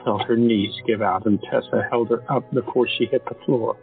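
A man narrating an audio drama in a measured, solemn storytelling manner. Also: medium pitch, fairly even intonation, creaky. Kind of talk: scripted narration. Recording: dry thin phone-like sound, faint music.

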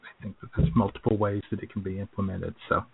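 A man's voice: low-pitched, little pitch movement, quick pace, gravelly, husky. A man hosting a live radio show in a casual, chatty style. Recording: muffled lo-fi internet radio.

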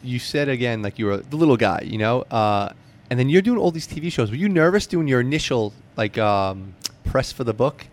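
A man's voice: mid-range voice, expressive, fairly quick, few pauses. An American man hosting a casual interview, asking questions in an informal, chatty way. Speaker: interview host.

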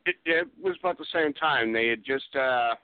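A man speaking as an interview guest, recalling things casually and correcting himself as he goes, a little haltingly. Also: mid-range voice, clear, resonant tone, no echo. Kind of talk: casual conversational recollection. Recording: dry phone-like line.

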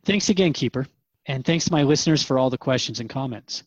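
A man's voice: medium pitch, expressive, quick pace, dry recording, dull audio, natural voice. A man playing a modest, earnest radio interviewer in a scripted radio drama. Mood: appreciative. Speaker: radio show host and interviewer.

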